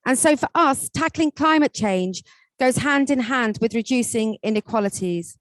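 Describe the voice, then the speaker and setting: A woman's voice: a little high, animated, moderate pace, no room sound. A woman from England, a council leader, opening and moderating a policy panel with a formal welcome and frequent ums. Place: conference hall. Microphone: table microphone.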